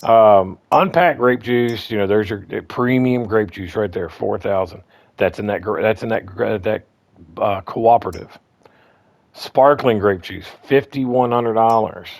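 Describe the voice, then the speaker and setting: A man with a slightly hoarse voice walking through his game farm in a casual, rambling way, full of ums and restarts. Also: fairly low pitch, unhurried, dry recording. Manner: rambling, repeats himself, lots of ums and restarts. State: slightly hoarse, clearing throat. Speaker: gaming guide host. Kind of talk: casual gameplay walkthrough.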